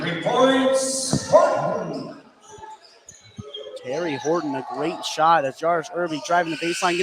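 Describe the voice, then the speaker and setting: A man giving live radio play-by-play of a basketball game from a noisy gym. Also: high-pitched.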